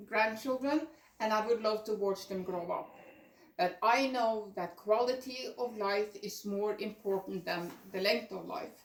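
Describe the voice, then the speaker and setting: Older woman presenting in echoey room. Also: mid-range voice. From Norway. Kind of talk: prepared personal presentation. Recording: echoey room, distant microphone.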